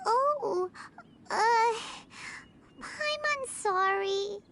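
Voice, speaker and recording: Squeaky, excitable tiny fairy companion. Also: female voice, extremely slow, slight background noise, exaggerated voice. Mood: apologetic, pleading. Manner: speaks of herself in third person, expressive. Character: small childlike floating fairy companion, chirpy and excitable. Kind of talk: video game character acting. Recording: game music playing behind.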